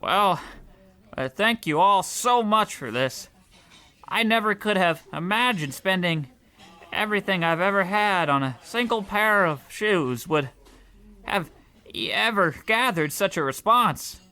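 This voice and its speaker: A man's voice: sits high, animated, unhurried, bright, forceful tone, exaggerated voice. A man playing a meek, poor, flustered clerk in a comic radio play, hesitant, apologetic and prone to stammering. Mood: grateful. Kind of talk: comic old-time radio play acting.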